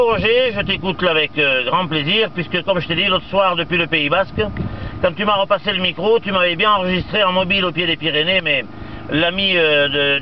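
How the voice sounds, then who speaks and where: A muffled, noisy two-way radio signal carries a man with a distinctly high voice. He chats casually as a radio hobbyist, talkative and dropping operator jargon as he goes. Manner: chatty, peppers talk with radio jargon. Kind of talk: casual radio contact chat.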